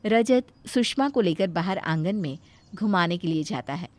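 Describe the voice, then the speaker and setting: A woman narrating a cartoon moral story in a lively, expressive storybook style. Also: fairly low pitch, normal speed, no room sound.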